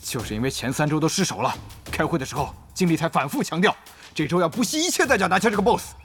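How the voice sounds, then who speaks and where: A man's voice: fairly high pitch, fast, slight background noise, close to an everyday voice. An actor playing a hot-tempered, bossy male gaming guild president in a Chinese audio drama. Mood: exasperated, furious. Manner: bossy, excitable, swings from calm to outbursts.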